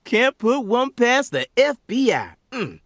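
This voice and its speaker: A man's voice: extremely high pitch, very animated, slow, a bit bright, no echo, clean studio sound, full character voice. A man playing a folksy, jovial small-town sheriff in a video game, with a rambling Southern US drawl. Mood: jovial, yielding.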